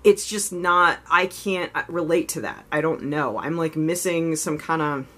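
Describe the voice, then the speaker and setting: A woman talking candidly straight to her vlog audience, her voice sitting notably low for a woman. She rambles and circles back, filling her sentences with "like" and "you know". She speaks openly and thinks aloud as she goes. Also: normal speed, fairly bright tone. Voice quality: low voice she raises slightly higher than natural. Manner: frank, self-reflective. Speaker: vlogger. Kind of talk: casual confessional vlog.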